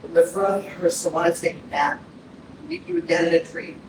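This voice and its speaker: A man's voice: fairly high pitch, steady tone, brisk, natural voice. A man performing poetic narration in a staged reading. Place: indoor arts center stage, recorded from afar. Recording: distant, very echoey, clear noise.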